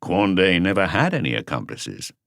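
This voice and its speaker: A man voicing a calm, laconic senior police detective in an audiobook, terse and authoritative. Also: low-pitched, moderate pace, no room sound, clean studio sound, exaggerated voice. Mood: pensive. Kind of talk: audiobook character dialogue.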